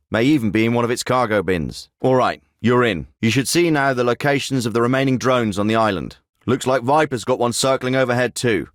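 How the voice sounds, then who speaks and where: Actor playing terse profane soldier, mid-pitched voice, dry close sound. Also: male voice, no room sound, natural voice. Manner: terse, profane and matter-of-fact. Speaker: military team leader. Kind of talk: video game voice acting. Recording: dry studio recording.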